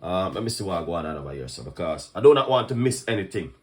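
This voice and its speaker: Fast-talking Jamaican man, cooking host. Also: low voice. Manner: lively, talks fast, greets viewers by place, laughs often. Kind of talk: casual live-stream cooking chat.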